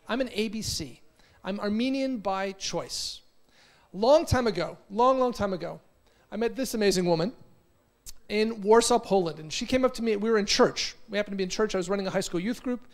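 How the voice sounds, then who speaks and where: An American man in his forties giving an energetic motivational conference talk, telling stories and often repeating words. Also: high-pitched, normal speed. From United States. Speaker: conference speaker. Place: conference hall.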